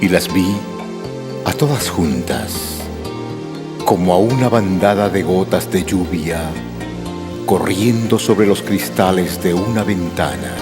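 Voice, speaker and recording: A man reciting romantic poetry in a measured, tender declamatory style. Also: sits low, expressive. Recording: recitation over loud soft music. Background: new-age music.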